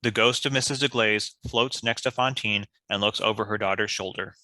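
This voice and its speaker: A man with a lowish, very bright and forceful voice narrates stage directions from a script. The sound is close and dry. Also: fairly even intonation, a little fast, no echo.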